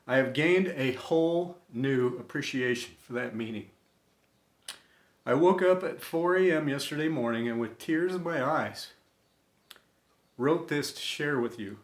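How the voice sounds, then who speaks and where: A man reading a scripted inspirational tribute in an earnest, deliberate manner, pausing between short phrases. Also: mid-range voice. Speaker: storyteller and host of an inspirational series. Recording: echoey room.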